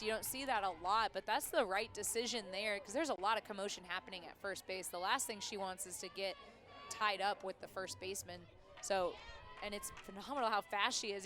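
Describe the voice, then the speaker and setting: A woman giving color commentary and analysis on a softball broadcast. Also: medium pitch.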